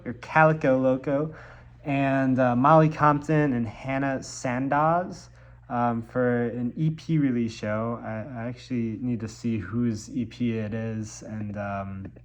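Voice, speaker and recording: A man's voice: mid-range voice, muffled recording. A young man from Milwaukee, congested from a cold, rambling casually in a vlog with lots of ums and likes. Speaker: musician vlogger.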